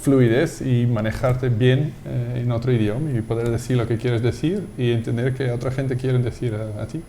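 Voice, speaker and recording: A Dutch man speaking with a Dutch accent, a guest in a TV studio interview, relaxed and searching for words as he explains. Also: medium pitch, normal speed, slight background noise. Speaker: guest, company CEO.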